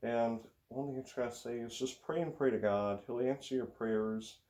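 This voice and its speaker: A man's voice: medium pitch, moderate pace, a little mellow. A teenage American man with an Upper Midwest accent, talking in a rambling, hesitant way full of fillers and sighs. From United States. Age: teens. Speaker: racing vlogger. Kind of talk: rambling casual monologue.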